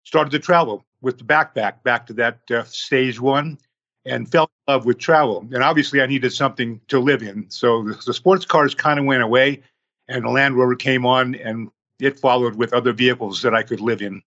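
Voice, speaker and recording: A man in his sixties, a podcast guest who tells his story slowly, in numbered steps. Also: medium pitch, a bit bright, muffled recording.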